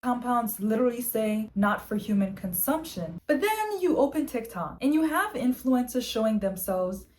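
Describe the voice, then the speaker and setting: A woman's voice: on the high side, sing-song, normal speed, hardly any pauses, a little mellow. A woman presenting a scripted explainer as a fitness and wellness video creator, articulate, orderly and informative. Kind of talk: scripted explainer narration to camera.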